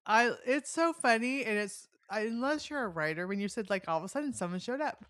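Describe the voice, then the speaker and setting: A woman's voice: on the high side, animated, brisk, fairly bright tone, dry recording, clean studio sound. A middle-aged woman hosting a casual book-chat interview, talking in a chatty way, circling back mid-sentence and often laughing. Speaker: podcast host.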